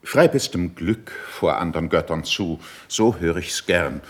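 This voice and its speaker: A shrewd, condescending middle-aged statesman in a classical radio drama. His voice is low, with a widely swinging melody. He delivers his verse in a measured, dryly ironic and superior manner. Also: expressive. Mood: sarcastic, superior. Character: cool, shrewd, condescending older male statesman. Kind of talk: classical German verse stage acting for radio play.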